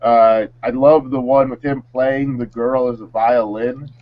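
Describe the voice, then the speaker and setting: A man's voice: mid-range voice, fairly even intonation, a little slow. A man co-hosting a casual chat, giving opinions in informal, slangy talk. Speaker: co-host. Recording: slightly muffled sound.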